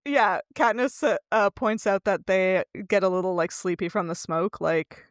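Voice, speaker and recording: A woman's voice: medium pitch, fairly even intonation, normal speed, no echo, clean studio sound. A woman co-hosting a podcast in a casual book chat, reasoning things out in a wry, sweary way. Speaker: podcast co-host.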